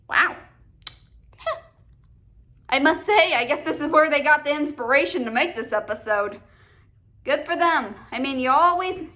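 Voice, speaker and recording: A woman with a notably high voice hosts a casual commentary video. She moves between chatty remarks and read-aloud summaries. Her delivery is halting, with frequent stumbles and repeated words. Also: bright, forceful tone. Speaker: host of a cartoon countdown video.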